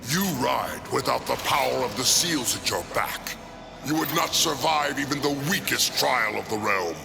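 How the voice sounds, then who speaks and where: An actor playing a solemn, haughty undead lord of the dead in a video game, with a gravelly, imperious, theatrical delivery. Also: male voice, mid-range voice, animated, moderate pace. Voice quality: gravelly, creaky. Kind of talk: video game cutscene acting. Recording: loud cinematic music behind.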